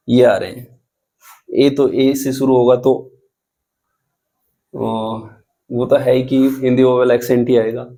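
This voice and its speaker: Slow, mellow-voiced male teacher. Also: mid-range voice. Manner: slow, deliberate, repeats and spells out examples; asks the students questions. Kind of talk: explanatory grammar lesson.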